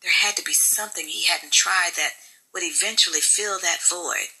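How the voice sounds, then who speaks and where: A woman's voice: lowish voice, normal speed, gravelly, sharp tone, breathy edge, rough recording. A woman reading scripted fiction as an audiobook narrator.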